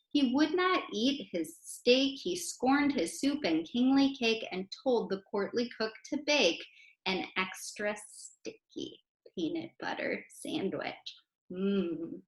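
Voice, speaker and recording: An American woman reading poems aloud to children in an expressive way, speaking directly to her young listeners. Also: on the high side. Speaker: children's poem reader and teacher. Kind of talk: animated read-aloud for children. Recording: roomy, echoey sound.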